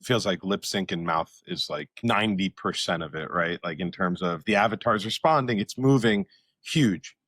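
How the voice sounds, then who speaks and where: A man's voice: low-pitched, normal speed, a bit bright, dry recording. A man hosting a podcast, chatting casually in loose, informal phrases peppered with filler words. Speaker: podcast host. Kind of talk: casual interview chat.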